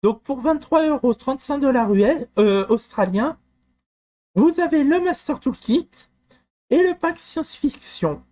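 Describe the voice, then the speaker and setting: Hesitant man presenting a software tool. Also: extremely high pitch, lively intonation, a little slow. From France. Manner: hesitant, many fillers and restarts. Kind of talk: screen-recorded walkthrough.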